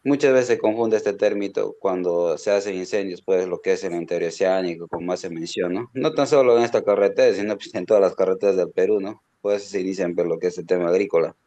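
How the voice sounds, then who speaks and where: A man's voice: low-pitched, fairly even intonation, brisk, hardly any pauses, dark tone. A man with a Peruvian accent politely making a comment as a student, on dull, lo-fi audio. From Puerto Maldonado, Peru. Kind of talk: polite spoken comment in class.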